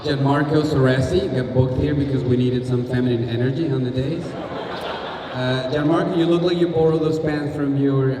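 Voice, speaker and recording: A man delivering a stand-up roast with punchy, deadpan insult jokes. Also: on the high side, noisy background. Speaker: roast comedian. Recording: echoey hall, distant mic, crowd. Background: audience laughter.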